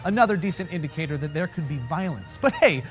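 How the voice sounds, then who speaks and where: Wry, very animated man narrating history. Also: fairly high pitch, fast, soft background music. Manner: wry, sarcastic asides. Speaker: history segment presenter. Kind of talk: comedic scripted history narration.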